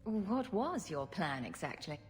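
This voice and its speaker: A wisecracking champion heroine from a video game. She speaks in a slightly low woman's voice with dry sarcasm. Some music plays behind her. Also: very animated, measured pace. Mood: pointed probing. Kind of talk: video game cutscene acting.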